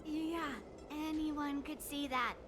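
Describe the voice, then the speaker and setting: A woman's voice: sits high, fairly even intonation, slow pace, noisy background. An actress playing a blunt, cocky young swordswoman in a video game, with a teasing manner. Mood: smug.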